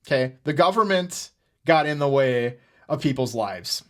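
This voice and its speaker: A middle-aged man with an American accent giving unscripted podcast commentary in an earnest, halting manner, often repeating words. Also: mid-range voice, animated. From United States. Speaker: podcast host. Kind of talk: unscripted political commentary with personal stories.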